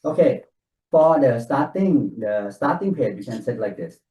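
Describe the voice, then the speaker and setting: Man, meeting presenter, mellow voice, step-by-step explanations, roomy echo. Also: medium pitch, a little slow. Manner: relaxed, explains step by step in non-native English. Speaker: technical consultant presenting to a client team. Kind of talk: casual work meeting talk.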